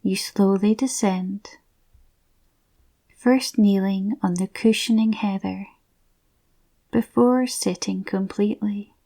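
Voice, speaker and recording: Soft, slow, mellow woman guiding relaxation. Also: medium pitch, fairly even intonation. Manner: soft, breathy, near-whispered, soothing delivery. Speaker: guided relaxation narrator. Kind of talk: slow guided visualisation, scripted narration.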